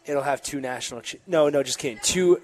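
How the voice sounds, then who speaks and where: A man's voice: a little high, fairly quick. A young man co-hosting a casual sports radio show from a bar, chatty and a bit hesitant, often restarting his sentences. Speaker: radio co-host. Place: bar and lounge.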